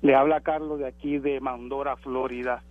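A man's voice: medium pitch, flat delivery, normal speed. A man in his sixties with a Puerto Rican accent calling a radio show, chatting casually and politely over the phone. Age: 60s. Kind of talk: casual, polite call-in talk. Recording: dull lo-fi phone call.

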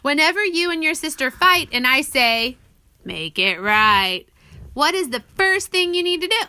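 A woman narrating an audiobook she wrote, pausing to prompt and question as she teaches a child. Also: sits high, expressive, bright, forceful tone, no echo. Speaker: author reading own book aloud and parent.

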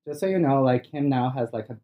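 A man's voice: mid-range voice, fast talker, highs cut off. A man from Brazil with a Brazilian Portuguese accent, a podcast guest chatting in a bedroom, bawdy and playful, laughing often. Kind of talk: casual podcast chat.

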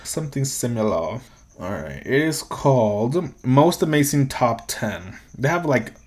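A man's voice: mid-range voice, expressive, measured pace, a little mellow. A young man hosting a solo podcast, talking in a casual, rambling way, swearing freely and laughing often. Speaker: podcast host.

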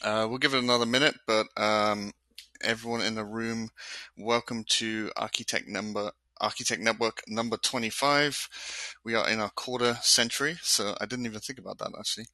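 A man's voice: fairly low pitch, normal speed, no echo. A man hosting a live online architecture discussion, chatting casually with fillers and easy laughs. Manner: relaxed, rambling, frequent fillers and laughs. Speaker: host of an architecture talk.

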